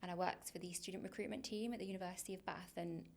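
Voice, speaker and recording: A woman in her twenties from Leicester with a faded Leicester accent, chatting casually as a podcast guest, with many ums and a habit of trailing off quietly. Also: fairly low pitch, fairly even intonation, rapid-fire. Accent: faded Leicester English. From Leicester, England. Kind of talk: casual interview chat.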